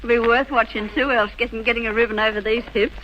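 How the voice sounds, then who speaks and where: Bossy, scoffing Australian spinster sister played by an actress, noisy old recording. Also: female voice, on the high side, fairly even intonation, quick pace, highs cut off, lightly acted. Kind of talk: old-time radio comedy acting.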